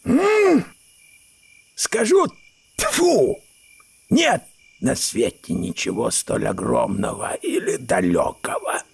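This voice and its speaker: An actor playing a stubborn, eccentric old uncle in an audio drama, with a raspy voice and theatrical, exclamatory delivery. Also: male voice, medium pitch, sing-song, takes it slowly, pauses often, heavily acted. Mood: scornful. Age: older. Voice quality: raspy, creaky. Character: stubborn, cantankerous old eccentric uncle who disbelieves everything. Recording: studio audio drama with music.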